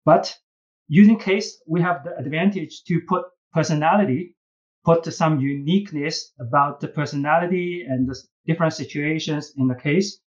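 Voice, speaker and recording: A man from China with a Chinese accent, answering interview questions earnestly and haltingly, repeating words and checking his points with a questioning tag. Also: on the high side, highs cut off. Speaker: business school professor and case writer, podcast guest.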